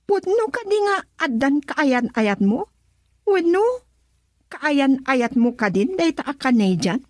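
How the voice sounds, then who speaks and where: A woman's voice: high-pitched, takes it slowly, dry recording, heavily acted. An actress voicing a curious young woman pressing her cousin with questions in a radio drama. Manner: inquisitive, lively questioning.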